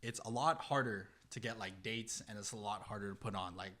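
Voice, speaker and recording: A man's voice: on the low side, fairly quick, sharp tone. A young man chatting casually and earnestly in slang as a podcast guest. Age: young adult. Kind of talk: casual chat.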